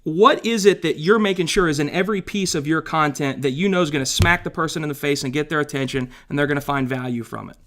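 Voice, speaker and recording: High-voiced, eager male podcast host. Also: quick pace, few pauses, fairly bright tone. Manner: enthusiastic, admiring. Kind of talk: interview questions.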